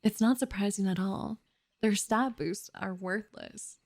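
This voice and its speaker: Casting: doubtful young female elf sidekick, played by a woman, medium-pitched, dry and grudging, for ASMR roleplay. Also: a little slow, darkish tone, no room sound. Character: skeptical, teasing young female elf, reluctant research partner.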